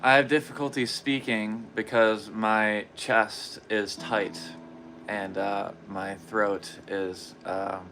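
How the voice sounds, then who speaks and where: A man talking slowly and haltingly during a livestream, his voice hoarse and tired, with pauses and repeated phrases. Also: on the low side, little pitch movement, slight background noise, rough recording. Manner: soft-spoken, halting, with long pauses and repetitions. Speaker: guitarist livestreaming practice. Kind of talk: slow reflective personal talk.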